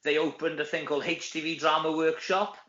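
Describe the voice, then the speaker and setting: A Welsh man with a fairly high, bright voice chats casually as an interview guest. He is self-deprecating and anecdotal, and the sound is dull and echoey. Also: flat delivery, fairly quick. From Wales. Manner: chatty, self-deprecating, often tags sentences with "you know". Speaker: actor and comedy performer, interview guest. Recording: roomy echo, dull lo-fi sound.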